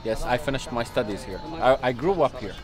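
A young man interviewed at an outdoor café, answering calmly with some hesitation. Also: medium pitch, racing. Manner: calm, thoughtful, some hesitation. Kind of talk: casual chat.

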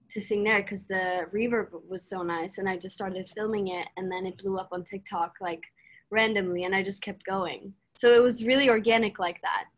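A woman's voice: mid-range voice, fairly bright tone. Upbeat young woman from Sweden on a video call. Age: young adult. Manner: chatty and upbeat, fillers and restarts. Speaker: singer being interviewed. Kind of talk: casual video-call interview. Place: small room indoors. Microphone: laptop mic on a video call, no earphones.

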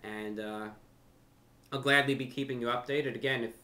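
A young adult man with an American accent speaks casually to his viewers as the host of his own channel. His voice is bright and forceful, sitting at an ordinary male pitch. He is earnest and conversational, often restating a phrase to drive it home. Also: fairly even intonation, normal speed. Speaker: host of a video channel and radio show. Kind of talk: casual monologue to camera. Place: furnished room at home.

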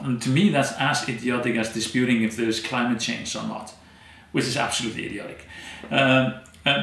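A man in his thirties from Iceland, a native Icelandic speaker, telling stories as an interview guest with many pauses and fillers. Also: mid-range voice, gravelly, fairly bright tone, echoey room. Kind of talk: conversational storytelling. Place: dim indoor room, seated in armchair.

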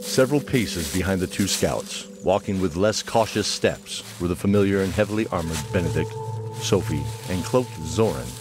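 A man's voice: low-pitched, expressive, normal speed. A man narrating a fantasy audio drama in a scripted storytelling style. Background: music.

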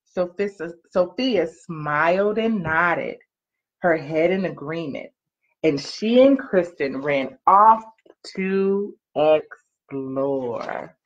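A woman in her thirties, a mother reading and chatting for a children's story time in an animated, encouraging way, recorded on a webcam in a living room. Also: lowish voice. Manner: animated, encouraging call-and-repeat delivery with drawn-out phrases. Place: small living room, on a couch. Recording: slightly dull home webcam audio.

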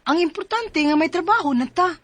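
A woman's voice: extremely high pitch, expressive, a little fast, fairly bright tone, no room sound. A young woman acting as an upbeat friend who is looking for domestic work, reassuring and breezy in a radio drama.

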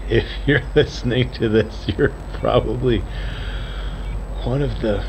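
An actor playing a weary, fearful, guilt-ridden radio observer who speaks in a halting, brooding, confessional way. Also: male voice, medium pitch, gravelly, a little hiss or hum, low-quality recording. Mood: bleak, regretful. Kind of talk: audio drama monologue.

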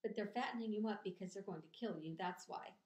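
A woman's voice: medium pitch, fast talker, a little mellow, lightly acted. Old female sheep for a storybook, woman narrator acting: matter-of-fact, blunt, gossipy, cynical; reverberant room. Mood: blunt, grim. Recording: echoey room.

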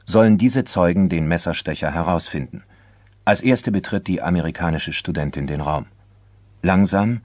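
A man narrating a crime documentary drama from a script in a formal, measured manner. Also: low-pitched, a little mellow, dry recording, studio quality.

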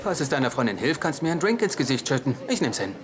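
A man's voice: medium pitch, big pitch swings, racing, lightly acted. Man acting awkward romantic twenties guy, sitcom style, voice jumps around, chatter in back. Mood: nervous flirting. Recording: busy bar chatter behind TV dialogue.

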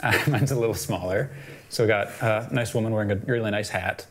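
A man's voice: on the low side, a little fast. A young man co-hosting a children's storytime, casually explaining things in a small studio. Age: young adult. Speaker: storytime co-host.

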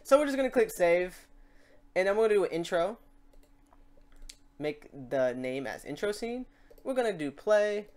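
A man hosting a casual software tutorial, rambling and thinking aloud as he works. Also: high voice, very animated, moderate pace, long pauses. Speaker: tutorial host. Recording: clearly noisy mic, keyboard clicks. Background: typing and mouse clicks.

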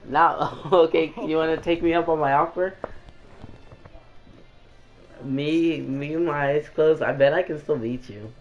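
A man's voice: on the high side, fairly even intonation, a little slow, pauses often, faint music behind. A man chatting casually with friends in a game party, bragging and trash talking playfully. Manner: boastful, playful trash talk. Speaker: gamer chatting in a party while waiting for a match. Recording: muffled lo-fi game chat audio.

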